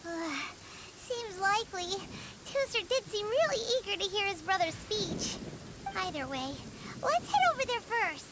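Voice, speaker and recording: A woman's voice: very high-pitched, lively intonation, unhurried, heavy background noise. A woman voicing a small, chatty and curious childlike fairy companion in an anime video game. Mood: eager. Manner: refers to itself in the third person.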